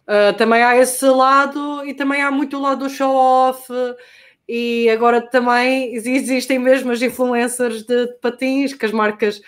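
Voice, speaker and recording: A woman's voice: high-pitched, steady tone, normal speed. A young adult woman from Portugal with a Portuguese accent, chatting casually and hesitantly as a guest, with slang and English terms mixed in. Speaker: guest skater. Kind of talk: casual chat.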